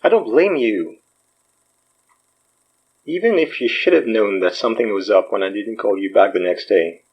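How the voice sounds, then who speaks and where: Rattled, gentle man, animated pitch. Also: moderate pace, slightly rough, lo-fi sound. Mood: tentative, teasing. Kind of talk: naturalistic horror audio drama acting.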